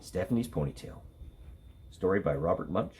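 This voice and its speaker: A man's voice: low voice, expressive, normal speed, darkish tone, slight background noise. A man reading a children's story aloud with theatrical, dramatic emphasis. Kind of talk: animated children's read-aloud.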